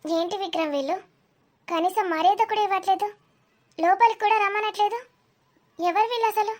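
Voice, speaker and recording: Woman acting a very high-pitched, proud cartoon auntie. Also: brisk, a little mellow. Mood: disdainful. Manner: polite wording, questioning, indignant. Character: proud, wary middle-aged mothers and aunties. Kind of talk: cartoon family drama dialogue.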